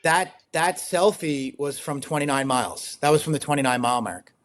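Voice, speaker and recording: A young man with a slightly raspy voice, talking casually as an interview guest, rambling with lots of fillers and frequent laughs. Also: a little high, normal speed, fairly bright tone, a little hiss or hum. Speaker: guest, ultrarunner.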